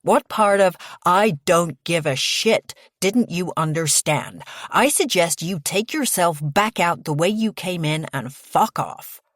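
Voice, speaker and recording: A woman's voice: extremely low pitch, moderate pace, creaky, few pauses, dry recording, clean studio sound, voice pushed down, full character voice. A woman voicing a curt, protective, angry young man in an audiobook, blunt and snapping.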